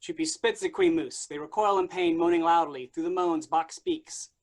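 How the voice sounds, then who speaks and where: A man's voice: fairly high pitch, fairly even intonation, normal speed. A man reading stage directions as scripted narration at a play reading.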